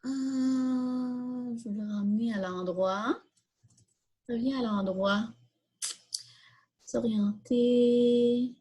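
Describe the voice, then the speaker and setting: A woman's voice: on the high side, drawn-out words, pauses often, warm tone, breathy edge. A woman with a Quebec French accent leading an informal step-by-step coding tutorial, thinking aloud and posing questions that she then answers herself. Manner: self-questioning, explanatory. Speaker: coding workshop instructor.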